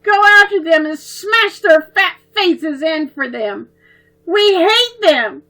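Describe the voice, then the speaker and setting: A woman's voice: expressive, takes it slowly, sharp tone, full character voice, low-quality recording. Woman voicing a tearful pleading little girl. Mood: upset. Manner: high, pleading, near sobbing.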